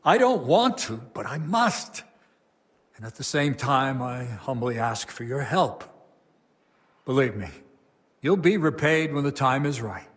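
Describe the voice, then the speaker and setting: An actor playing a stern, burdened abbot of a medieval monastery, grave and formal, in a dramatic game cutscene. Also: male voice, mid-range voice, big pitch swings, unhurried, long pauses. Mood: solemn pleading.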